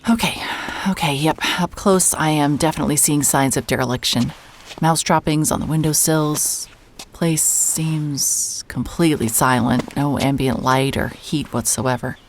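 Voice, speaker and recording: A low-pitched female private investigator, carries this mystery audio drama. She is hard-boiled yet nervy, with a wry, muttering way of talking to herself. Ambient noise sits behind her voice. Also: a little slow. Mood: cautious, hushed. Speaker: lead actor and narrator of an audio drama. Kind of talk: cinematic audio drama, first-person noir narration and case dictation.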